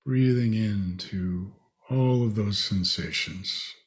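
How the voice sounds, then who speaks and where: A man leading a guided meditation, speaking in short, spacious phrases with long pauses. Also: on the low side.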